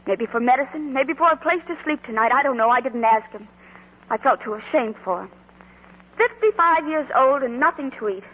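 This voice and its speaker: A woman's voice: high-pitched, lively intonation, fairly quick, husky, phone-quality sound. An actress playing an earnest, big-hearted young shopgirl in a 1940s radio drama, on an old broadcast recording. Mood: impassioned, pleading.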